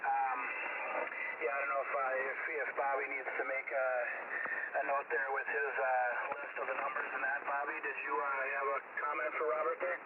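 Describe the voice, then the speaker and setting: A man's voice: mid-range voice, level tone, moderate pace. A man acting as net controller on an amateur radio group, casually running check-ins over a shortwave radio transmission. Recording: noisy, muffled, distant ham radio signal. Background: heavy radio static.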